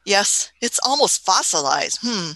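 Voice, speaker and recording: A woman plays an elderly, curious adventurer for a radio play, with a bright, forward voice. The delivery swings up and down, full of fussy little hums and questions. The sound is dry and close. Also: medium pitch, big pitch swings, unhurried, dry recording. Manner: hemming, questioning, punctuates with hmm and uh. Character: elderly Doctor, inquisitive, fussy time-travelling adventurer.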